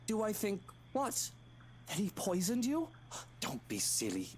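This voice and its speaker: A man's voice: sits high, wildly swooping pitch, measured pace, darkish tone, slight background noise, full character voice. A man voicing a greedy, scheming husband in a visual novel game, theatrical, condescending, mock-tender and menacing. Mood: taunting.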